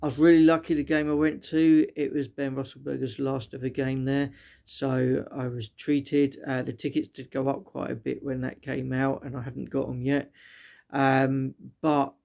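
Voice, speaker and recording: A man's voice: mid-range voice, flat delivery, normal speed, creaky, mellow. A middle-aged British man giving a casual, unscripted vlog review in a chatty, rambling way. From Britain. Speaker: vlogger reviewing stadiums as a fan. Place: small living room indoors.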